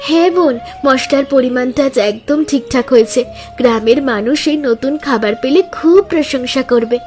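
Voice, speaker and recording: Woman acting a high-voiced, earnest village housewife. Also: natural voice. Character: hardworking, hopeful village housewives starting a food business. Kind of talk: scripted animated story dialogue.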